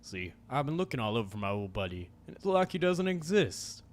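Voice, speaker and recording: A man voicing a menacing male cowboy villain who taunts in a slow drawl. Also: mid-range voice, very animated, lightly acted. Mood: taunting.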